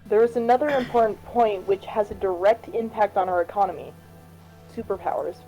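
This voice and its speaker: A woman's voice: medium pitch, fairly quick, warm tone, close to an everyday voice, poor audio. A woman voicing a firm, cautious cabinet minister in a fan reading of a political game, formal and insistent. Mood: firm, cautioning. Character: firm, cautious female cabinet minister defending state planning. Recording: music behind the voice.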